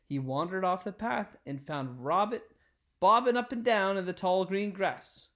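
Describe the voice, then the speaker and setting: Man, children's storyteller, slightly high voice, animated read-aloud, deliberate phrasing. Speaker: storyteller reading a children's picture book aloud.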